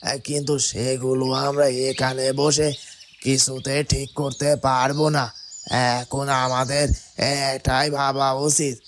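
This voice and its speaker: An actor voicing a worried, hesitant stranded machine operator in a cartoon. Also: male voice, mid-range voice, unhurried, a little hiss or hum, lightly acted. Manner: hesitant, flat.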